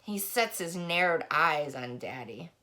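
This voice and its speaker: Bright-voiced woman reading aloud, very expressive. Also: deep voice, a little slow. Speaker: audiobook reader. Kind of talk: expressive scripted first-person narration.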